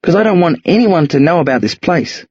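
A man voicing a blunt, streetwise teenage boy who talks urgently and insistently in a husky voice. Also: a little high, sing-song, a little fast, darkish tone, no room sound, highs cut off, pitched above the performer's own voice. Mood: impatient. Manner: urgent, insistent, rough slang.

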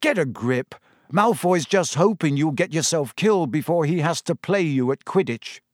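A man voicing a blunt, excitable teenage schoolboy with an emphatic delivery in an audiobook. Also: fairly high pitch, normal speed, dry recording, clean studio sound, pitched above the performer's own voice. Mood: angry. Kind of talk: audiobook character voice.

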